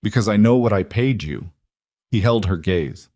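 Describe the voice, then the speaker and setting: A man reading a dramatic western story as scripted narration, measured and deliberate in his delivery. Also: fairly low pitch, animated, dark tone, dry recording, studio quality. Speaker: audiobook-style story narrator.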